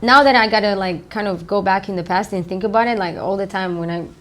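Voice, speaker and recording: A woman's voice: medium pitch, fast. A woman in her thirties from Romania, the founder of a startup, answering interview questions in a loose, rambling way with many "um"s and "like"s, in an office.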